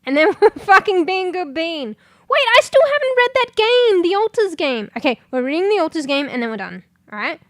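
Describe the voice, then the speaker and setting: A congested woman chatting casually on a livestream, rambling, swearing and breaking into sudden loud exclamations as she reads things aloud. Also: very high voice, very animated, brisk, no room sound, clean studio sound. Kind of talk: casual stream chat, reading aloud.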